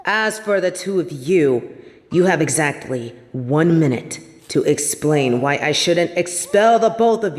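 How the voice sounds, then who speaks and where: A woman playing a stern, scornful older headmistress of a magic school in a fantasy audio drama, with a haughty, cutting delivery. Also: low voice. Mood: threatening. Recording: long reverberant hall with background noise.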